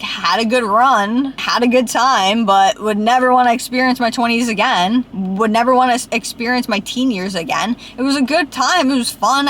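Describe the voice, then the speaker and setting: A woman's voice: a little high, fairly bright tone, a little hiss or hum. A woman in her late twenties giving a casual vlog to camera, rambling and filling her speech with "like". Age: late 20s. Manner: chatty, fills with "like", speeds up when venting. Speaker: vlogger.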